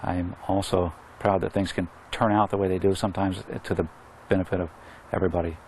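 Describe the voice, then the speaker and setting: A man, a firefighter, speaks in halting, sincere, informal interview talk. His voice is fairly low and gravelly, recorded on location with faint vehicle noise. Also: little pitch movement, a little mellow.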